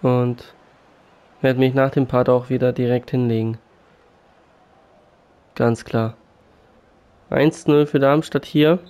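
Sick man with a flat, dark voice. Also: mid-range voice, takes it slowly, pauses often, clean tone. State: sick, sore throat. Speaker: gaming YouTuber. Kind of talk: casual game commentary. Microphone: USB condenser mic. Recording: faint game music behind.

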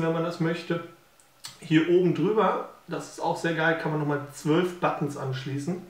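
A young man with a slight cold and a nasal voice, rambling excitedly with lots of filler sounds while unboxing gear at a living-room table. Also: fairly high pitch. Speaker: unboxing video host. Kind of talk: casual unboxing commentary. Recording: roomy, distant camera audio with faint hiss.

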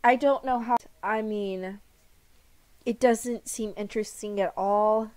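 A woman's voice: mid-range voice, steady tone, slow pace, a little mellow. A young woman giving casual commentary on a game, chatty and reading on-screen text aloud, recorded at a desk in a small room. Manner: chatty, reads on-screen text aloud, clears throat often. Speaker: gaming YouTuber.

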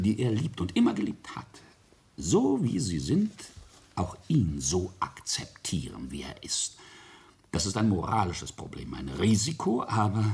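An actor playing an arrogant middle-aged family patriarch in a radio play, deliberate and self-justifying, with a husky, gravelly voice. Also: male voice, low voice, darkish tone, exaggerated voice. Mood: solemn, insistent. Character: arrogant, coldly defiant family patriarch confessing a murder.

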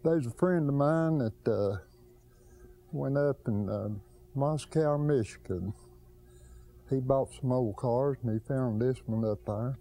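An older man and garage owner answering questions in a plainspoken, hesitant way with many pauses, speaking in a large cluttered garage workshop. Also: medium pitch, warm tone, slight background noise. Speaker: car restorer, garage owner. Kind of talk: casual interview answers.

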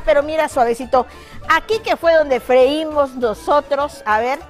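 A woman's voice: high-pitched, fairly bright tone. A middle-aged woman hosts a live TV cooking segment in a studio kitchen, chatty and affectionate with viewers, full of exclamations. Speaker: TV cooking show host.

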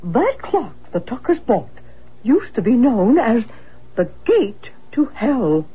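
A woman's voice: fairly high pitch, very animated, slow pace, slightly rough, full character voice. A woman playing an earnest, eager medium in a radio drama, emphatic and portentous, on a tinny old broadcast. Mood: excited, portentous. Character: earnest, eager female medium researching spirits.

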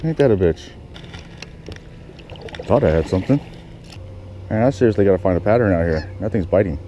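A man's voice: deep voice, expressive, fairly quick, dull audio, background noise. A man talking casually to himself while fishing from a kayak on a lake, swearing now and then, recorded on a head-mounted action camera. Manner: muttering to himself, swears often. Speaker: fishing vlogger. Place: small kayak on a lake outdoors.

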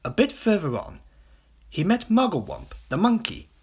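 A man reading a children's audiobook story as an expressive narrator. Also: sits high, moderate pace, heavy background noise. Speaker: audiobook reader.

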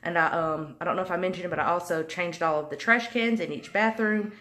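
A woman's voice: on the low side, quick pace, bright, forceful tone. A young woman vlogging casually from her home kitchen after cleaning, talking in a rambling way full of ums, hot and sweaty. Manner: chatty, rambling with many ums. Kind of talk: casual cleaning vlog chat. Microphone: phone camera close up.

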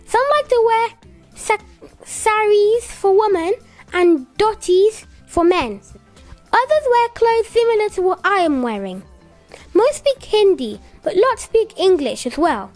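A woman voicing an eager little child puppet, presenting slowly and carefully in a children's puppet show. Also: very high voice, big pitch swings, faint music behind, pitched above the performer's own voice, exaggerated voice. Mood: eager, informative. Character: little child puppet Elle, eager young geography helper.

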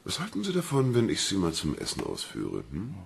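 An actor playing a dogged, wry police detective in a radio crime drama, with a deep gravelly voice. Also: male voice, wildly swooping pitch, fairly quick, a little hiss or hum, heavily acted. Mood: wry, brooding.

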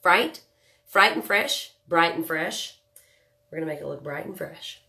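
Brief: thirties woman, lilt, low and stuffy-nosed from a cold, chatty webcam host. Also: expressive, unhurried, creaky, bright, forceful tone. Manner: chatty, joking, greets viewers by name. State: nasal voice from a cold. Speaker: makeup livestream host. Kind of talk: casual live makeup tutorial chat. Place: small home room indoors.

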